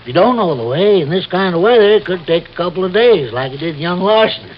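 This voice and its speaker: A man's voice: high-pitched, lively intonation, fast talker. An actor playing a folksy, cautious backwoods man who is deferential and rambles hesitantly, in old-time radio drama style. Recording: dull, hissy old radio recording.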